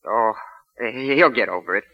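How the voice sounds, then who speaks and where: An actor playing a modest young college football player, hesitant and deferential, in 1940s radio style. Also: male voice, fairly low pitch, moderate pace. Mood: shy, respectful. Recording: dull vintage 1940s radio recording.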